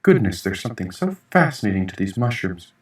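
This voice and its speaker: A man reading first-person fiction as an audiobook narrator, in an expressive, dramatic storytelling manner. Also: lowish voice, a little fast. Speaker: author reading own audiobook. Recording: echoey room, distant mic.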